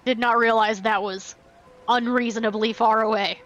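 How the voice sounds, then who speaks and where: A woman's voice: fairly high pitch, fairly even intonation, moderate pace, fairly bright tone, muffled recording. A woman chatting casually and playfully as she commentates on a live game stream.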